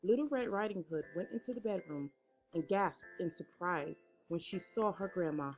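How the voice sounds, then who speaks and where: Female children's storyteller: lowish, expressive, measured narration; soft music, slightly dull audio.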